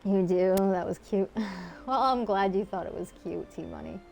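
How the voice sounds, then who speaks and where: A woman's voice: mid-range voice, normal speed, creaky, darkish tone, soft background music. A woman with a Connecticut accent, chatting casually with her viewers as she streams a game and answers chat. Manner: chatty, reads and answers chat, many fillers. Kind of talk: casual live-stream chat while gaming.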